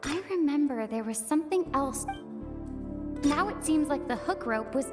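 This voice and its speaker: A woman's voice: high-pitched, expressive, moderate pace. A woman voicing an earnest, thoughtful young investigator in an anime game. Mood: pondering. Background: music.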